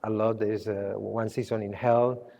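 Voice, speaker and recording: A man who grew up in Spain, speaking English as a second language, talks about his art in a halting way, often restarting and searching for words. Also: on the low side, level tone, vocal fry, warm tone, long reverb tail. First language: Spanish. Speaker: artist. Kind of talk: gallery conversation.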